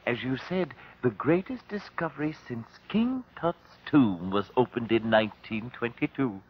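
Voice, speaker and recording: A man acting as a deferential, earnest assistant in an old radio play. He speaks precisely and politely with a lively rise and fall, on a dull recording with faint noise. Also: mid-range voice, normal speed, close to an everyday voice. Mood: attentive, agreeable.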